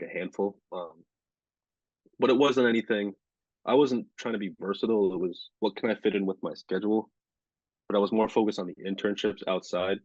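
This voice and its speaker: Casual guest, twenties, flat monotone, deep-ish voice, dry close mic. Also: male voice, no room sound, lo-fi sound. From Rhode Island. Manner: monotone delivery, filler-heavy. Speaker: sports reporter and anchor, podcast guest. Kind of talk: casual interview chat.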